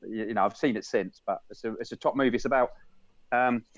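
A man's voice: mid-range voice, fast, no echo, highs cut off. A man co-hosting a film podcast over a video call, rambling and repeating words as he talks. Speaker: podcast co-host. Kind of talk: casual video-call chat.

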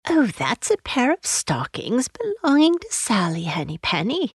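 A woman's voice: a little high, wildly swooping pitch, a little slow, husky, dry recording, studio quality, pitched above the performer's own voice, exaggerated voice. Woman as a fussy, deferential little hedgehog washerwoman. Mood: chatty, matter-of-fact. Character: stout, anxious, deferential hedgehog washerwoman in a storybook.

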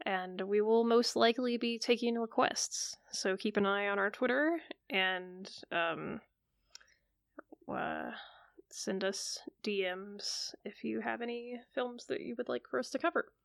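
A woman hosts a relaxed podcast, sounding chatty and quick to laugh. Her fairly high voice has a gravelly fry. The recording is dry and close. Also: no room sound. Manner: chatty, laughs often, uses filler words. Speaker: podcast host. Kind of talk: casual podcast chat.

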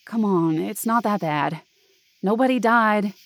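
A woman voicing a blunt, unfazed young female hiking companion with a dry, brusque, low-key delivery in an audio drama. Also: a little slow, no room sound, natural voice. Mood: dry reassurance.

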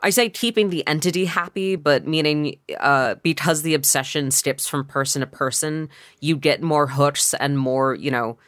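A man co-hosting a podcast in casual discussion, speaking haltingly with trailing phrases. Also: fairly high pitch, a bit bright, no echo, studio quality. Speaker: podcast co-host.

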